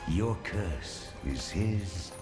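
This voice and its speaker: A man's voice: deep voice, expressive, drawn-out words, exaggerated voice. A man voicing a gloating, menacing undead warden in a game cinematic, with theatrical, ominous delivery. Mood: sinister persuasion. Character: sinister undead spirit warden, slow, gloating, menacing male. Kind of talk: dramatic animated game cinematic acting. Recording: voice over loud cinematic music.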